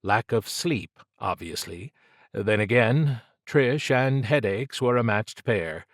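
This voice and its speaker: A male audiobook narrator with a fairly low voice. He reads fiction in a measured, dramatic storytelling manner, and the recording is dry and free of room sound. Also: expressive, no echo.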